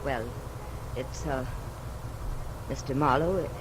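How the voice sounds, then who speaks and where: Hesitant, flustered rich wife. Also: female voice. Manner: halting, flustered, earnest. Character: hesitant, plain, rich wife, devoted and ashamed. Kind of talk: 1950s radio drama acting. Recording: noisy old radio broadcast over vehicle rumble.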